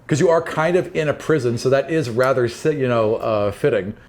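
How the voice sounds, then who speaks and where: A man's voice: medium pitch, a little fast. A man narrating a tabletop roleplaying game as dungeon master, in a casual, conversational style.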